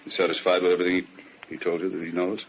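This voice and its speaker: A male actor playing a businesslike chief of detectives, briskly questioning his men in a vintage radio drama. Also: deep voice, completely flat delivery. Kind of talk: 1950s radio police drama. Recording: muffled, faintly noisy old radio recording.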